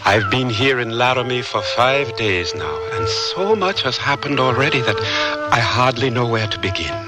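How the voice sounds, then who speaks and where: A man's voice: medium pitch, expressive, close to an everyday voice. Actor as earnest young African man, music behind. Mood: earnest, wondering. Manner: hesitant, polite, measured. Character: polite, earnest young African newcomer writing home. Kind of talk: radio drama letter narration.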